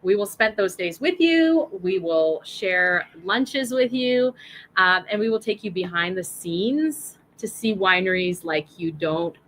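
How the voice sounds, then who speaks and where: A woman's voice: mid-range voice, lively intonation, unhurried, fairly bright tone, a little hiss or hum. A woman hosting a livestream and running a prize draw, chatting casually and apologetically straight to her viewers.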